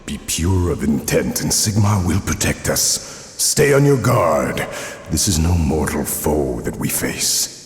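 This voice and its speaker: A man's voice: extremely low pitch, very animated, a little slow, soft background music, heavily acted. A man playing a stern, devout warrior priest in a fantasy game, speaking in a solemn, weighty, dramatic way. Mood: grave counsel. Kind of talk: fantasy video game voice acting.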